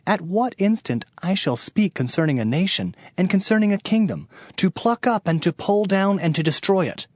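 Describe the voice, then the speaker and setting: A man giving a dramatized scripture narration as an audio Bible narrator, solemn and declamatory with deliberate phrasing. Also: sits high, expressive, no echo, studio quality. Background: background music.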